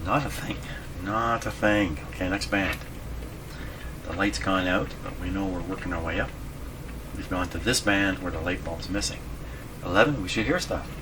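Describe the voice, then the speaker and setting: A man hosting a radio repair demonstration, talking casually and haltingly, often muttering to himself as he works. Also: deep voice. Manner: muttering to himself while working, halting, repetitive. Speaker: host of a radio repair video. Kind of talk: casual hands-on demonstration commentary. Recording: noisy, with clear background noise. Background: ambient noise from radio being tested.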